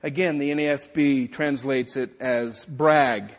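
A man preaching a measured sermon, with pointed asides to the congregation. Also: medium pitch, fairly even intonation. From Texas. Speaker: pastor. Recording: dull lo-fi sound in a reverberant hall.

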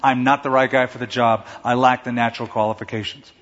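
A man preaching a sermon to a congregation in a conversational, animated way, sometimes acting out stammering quotes. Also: mid-range voice, fast talker. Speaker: pastor. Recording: dull sound in a large reverberant hall.

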